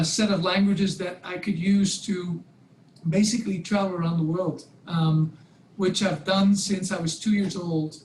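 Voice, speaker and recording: A man's voice: high voice, echoey room, a little hiss or hum, low-quality recording. A man in his forties from Belgium, whose first language is Dutch, giving a talk on a video call with many fillers and restarts. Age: 40s. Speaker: guest speaker, hotel company president.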